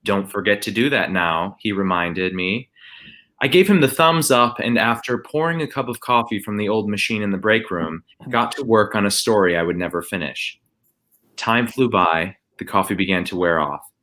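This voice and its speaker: A man's voice: on the low side, normal speed, pushed and bright. A man reading a first-person story aloud as scripted narration.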